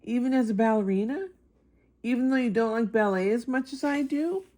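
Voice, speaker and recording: A woman's voice: fairly high pitch, fairly quick, dark tone, pitched above the performer's own voice, lightly acted. A woman voicing a sensitive young girl monster who loves ballet, earnest in manner, in a children's picture book. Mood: unsure. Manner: instructing, earnest.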